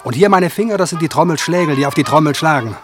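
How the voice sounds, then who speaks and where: A man's voice: fairly high pitch, expressive, fast, over music, lightly acted. A man acting a cheeky, boastful young would-be jazz drummer in a German radio play. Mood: cheeky, eager.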